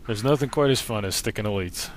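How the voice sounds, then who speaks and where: A man's voice: fairly low pitch, quick pace. A man giving casual, laid-back instructional commentary as a game walkthrough narrator, explaining matter-of-factly. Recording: noisy recording with game sound behind. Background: video game sound.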